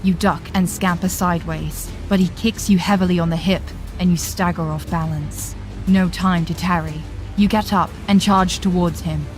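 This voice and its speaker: A woman's voice: on the low side, steady tone, moderate pace, faint music behind, low-quality recording. A woman giving dramatic, scripted narration for a fantasy audio adventure.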